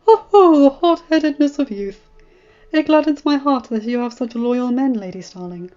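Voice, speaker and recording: A woman voicing a kindly, wise, very elderly male maester in a fantasy story, speaking in a courtly, measured way. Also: sits high, lively intonation, warm tone. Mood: amused, gentle. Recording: muffled audio with music.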